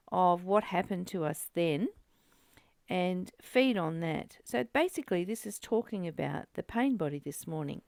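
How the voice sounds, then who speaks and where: A woman reading aloud and explaining a spiritual text as the host of a study group, in a deliberate, informal teaching way. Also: on the low side, vocal fry, a little mellow, dry recording.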